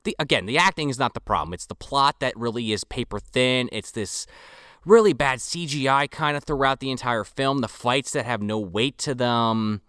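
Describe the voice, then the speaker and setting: A man's voice: mid-range voice, normal speed, sharp tone, clean tone, no room sound, clean studio sound. A man hosting a podcast, rambling through a casual movie review with profane jokes. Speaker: podcast host.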